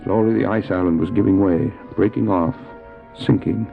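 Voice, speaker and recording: A man's voice: deep voice, fairly even intonation, moderate pace, gravelly. A man acting an anxious, determined dog-sled driver in a radio drama, telling his story earnestly and urgently. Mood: grave, suspenseful. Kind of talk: first-person dramatic narration, radio drama. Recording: tinny narrow-band old cassette, music behind.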